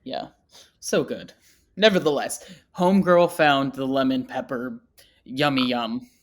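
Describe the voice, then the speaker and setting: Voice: mid-range voice, a little slow. A podcast co-host in casual chat who teases their co-host and makes self-deprecating jokes. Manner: teasing, self-deprecating, playful.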